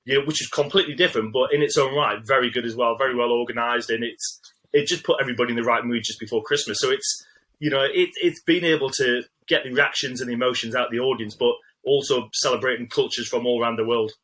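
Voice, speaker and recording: A man talking quickly and brightly as a podcast co-host. He rambles a little, restarting his sentences and leaning on fillers as he goes. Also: mid-range voice, steady tone, rough recording. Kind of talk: casual interview chat.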